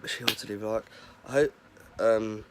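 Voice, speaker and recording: Hoarse young man, low-ish voice, chatty vlogger; loud noise behind. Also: steady tone, a little slow. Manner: rambling, chatty, filler-heavy. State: hoarse with a head cold. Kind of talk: casual vlog chat.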